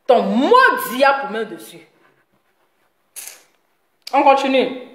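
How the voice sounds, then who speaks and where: A high-voiced woman delivers a rambling, scolding commentary. She mocks her target and laughs often between jabs. Her voice rings in a roomy, echoing space. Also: slow pace. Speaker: online commentator. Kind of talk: ranting commentary video.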